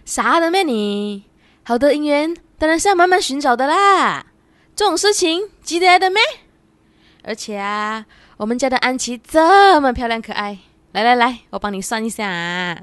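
A woman's voice: high voice, big pitch swings, normal speed, fairly bright tone, no echo. A young woman with a Malaysian Chinese accent playing a bubbly, coy, teasing university student in a radio drama. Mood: playful, teasing. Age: young adult. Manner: coy, teasing, particle-heavy colloquial speech. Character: bubbly, playful 23-year-old female university student in love.

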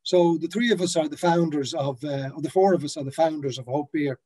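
A man's voice: fairly high pitch, brisk, mellow. A man in his fifties who grew up in the Netherlands, a brewery founder talking on a video call from his office, hesitant, with many fillers and restarts. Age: 50s. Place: office with a brand banner behind. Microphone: laptop webcam on a video call.

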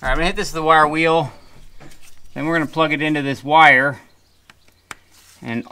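A man's voice: medium pitch, sharp tone, clear, resonant tone. A man in his thirties narrating casually to camera while working on an old machine in a barn, muttering to himself as he goes. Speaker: restoration vlogger. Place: open-sided metal barn.

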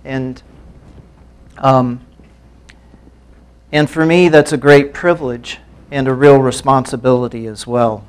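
A middle-aged man giving a sermon as a guest speaker, earnest and measured, with some hesitations, speaking into a lapel mic in a church hall. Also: medium pitch, steady tone, clean tone.

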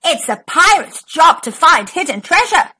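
A woman voicing a bold, excitable male pirate captain in a children's book, with emphatic commands. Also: extremely high pitch, moderate pace, heavily acted. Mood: eager.

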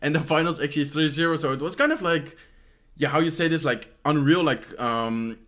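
Young Dutch-accented man, slightly high voice, relaxed rambling Q&A host, second-language English, home desk mic. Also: brisk. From Netherlands. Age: young adult. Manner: rambling, many "like" fillers and "how you say this" searches. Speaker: esports player answering fan questions. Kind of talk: casual Q&A chat. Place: bedroom at home, indoors.

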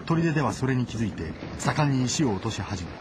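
A man's voice: medium pitch, very animated, fairly quick, very noisy. A man delivering dramatic scripted narration of a story as its narrator. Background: loud music.

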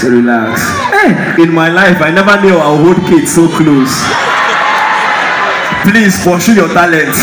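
A young man doing live stand-up comedy, loud and teasing, often laughing as he talks. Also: a little high. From Nigeria. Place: large indoor arena stage. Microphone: handheld stage mic heard through hall PA. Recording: echoey arena from far off, loud crowd. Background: crowd laughing and cheering.

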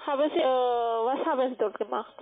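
A woman making a cold sales call over a phone line, speaking halting, non-native German phrasing. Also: high voice. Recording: tinny narrowband phone line.

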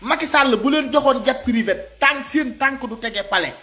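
A man in his thirties with a Senegalese accent giving impassioned, informal video commentary, animated and repeating his points as he exhorts listeners. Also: very high voice, moderate pace. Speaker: social media commentator. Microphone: phone at arm's length.

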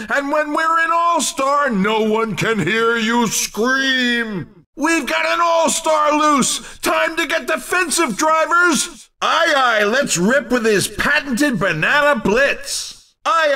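An actor voicing a hyped-up, playful race commentator in a video game, with theatrical, exclamatory delivery. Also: male voice, very high voice, pushed and bright, exaggerated voice. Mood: mock warning, then urgent excitement. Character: male hyped-up, playful arcade racing game announcer.

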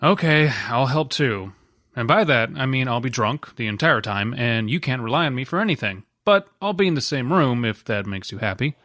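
A man co-hosting a comedy podcast, giving dismissive, deadpan replies in sketch banter. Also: mid-range voice, moderate pace, dry recording, clean studio sound. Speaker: podcast host.

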